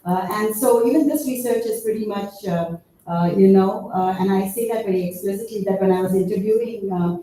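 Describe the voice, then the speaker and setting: A woman researcher in her fifties giving a conference presentation, halting with many fillers, a loud voice. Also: mid-range voice, slight background noise. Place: conference room. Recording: echoey hall, voice far from mic.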